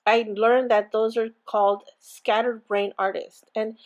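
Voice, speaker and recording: A woman's voice: a little high, flat delivery, unhurried, lo-fi sound. A woman with an accent hosting a casual crafting tutorial, chatty and rambling with many fillers and restarts. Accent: non-native English accent. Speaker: crafting channel host.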